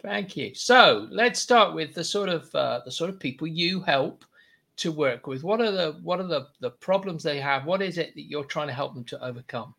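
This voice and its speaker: A man hosts a casual interview, his voice a little hoarse and pitched slightly high. He is friendly and often restarts his questions mid-sentence. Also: lively intonation, normal speed, sharp tone. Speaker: podcast host.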